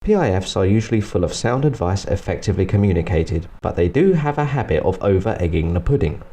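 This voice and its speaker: A man's voice: deep voice, lively intonation, quick pace, noisy background. A man narrating a scripted comedic review in a dry, sarcastic manner. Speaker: presenter of a film review series.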